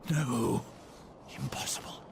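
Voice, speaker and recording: An actor voicing a sly, servile undead chancellor in a video game cutscene, smug and mock-courteous in a theatrical way. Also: male voice, slow, background noise, lightly acted. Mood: dismayed, grudging.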